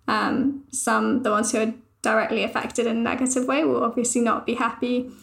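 A young adult woman, a journalist on a news podcast, explaining things in a measured, thoughtful way. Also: on the high side, vocal fry. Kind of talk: podcast discussion.

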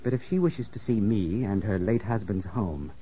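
Guy playing suspicious young english squire in a 50s radio play, low-ish formal voice, dull old recording. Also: moderate pace, slight background noise, lightly acted. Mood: curt, proud. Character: proud, suspicious young English country gentleman, telling his story. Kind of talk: 1950s radio drama acting. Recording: muffled 1950s radio recording, loud music.

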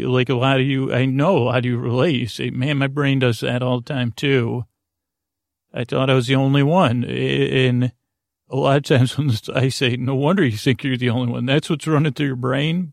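An American man hosting a bedtime podcast, with a gravelly voice and a meandering, hesitant, digressive way of talking that trails off mid-thought. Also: medium pitch, fairly even intonation, normal speed, no room sound, studio quality. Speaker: podcast host. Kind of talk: rambling, conversational bedtime monologue.

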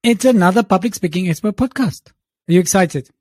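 A man's voice: high voice, fairly quick, darkish tone, no echo, faint music behind. A man co-hosting a podcast in casual, jokey banter, teasing his partner. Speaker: podcast co-host.

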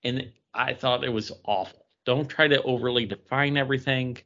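A man's voice: mid-range voice, steady tone, normal speed, pushed and bright. A man co-hosting a podcast, chatting casually about comic books. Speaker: podcast host. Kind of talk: casual comic book review chat. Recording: dull, lo-fi sound.

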